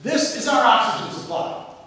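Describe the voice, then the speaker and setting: A man's voice: very high voice, lively intonation, normal speed, sharp tone, heavily acted. A man playing an eager, bossy dad showing off his doomsday bunker in a stage comedy, stammering and repeating himself like a salesman. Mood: insistent. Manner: salesman-like enthusiasm, repeats phrases, stammers between ideas. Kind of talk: amateur stage comedy acting. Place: indoor theatre stage. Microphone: distant microphone. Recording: echoey theatre stage, distant microphone.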